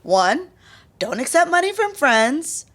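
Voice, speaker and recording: A woman's voice: sits high, big pitch swings, takes it slowly, fairly bright tone, heavily acted. An actress playing a stubborn, sardonic young woman in a sitcom. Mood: stubborn, wry. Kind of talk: sitcom acting.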